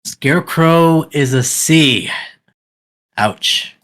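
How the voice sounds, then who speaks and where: A man's voice: on the high side, extremely slow, a bit bright. A man hosting a casual group podcast discussion, introducing items and polling the panel. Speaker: podcast host.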